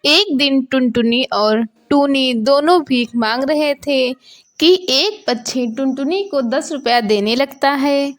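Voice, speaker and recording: A woman's voice: high-pitched, takes it slowly. A woman narrating a scripted moral bedtime story for children.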